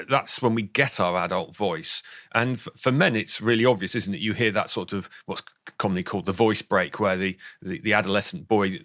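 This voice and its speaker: Guy in his 50s, a bit croaky, lowish voice, very dry sound, explaining stuff with lots of restarts. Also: fairly bright tone, no echo. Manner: explanatory, thoughtful with frequent restarts. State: slightly croaky today. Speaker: author and guest. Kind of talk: interview on voice science.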